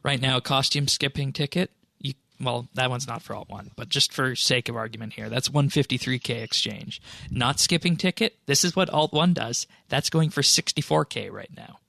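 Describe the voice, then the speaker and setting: A man's voice: mid-range voice, normal speed, vocal fry, sharp tone, dry recording. A man co-hosting a casual gaming podcast, explaining things in a matter-of-fact way. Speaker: podcast co-host. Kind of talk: casual panel discussion about online games.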